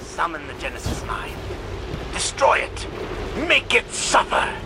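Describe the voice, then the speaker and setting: A man's voice: fairly high pitch, wildly swooping pitch, measured pace, noisy background, heavily acted. An actor playing a cantankerous, obsessive older male alien scientist in a video game, pompous and theatrically indignant in manner. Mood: vengeful. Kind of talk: dramatic video game voice acting.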